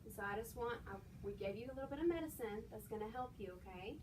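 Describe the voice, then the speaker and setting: In a slightly noisy, distant recording, a woman plays a young new nurse in an amateur skit. Her voice is fairly high, earnest and reassuring as she repeats herself to soothe. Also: fast, a little mellow, lightly acted. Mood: soothing. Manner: reassuring, repetitive, checking with "okay". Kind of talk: amateur training skit acting. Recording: distant microphone, noisy room.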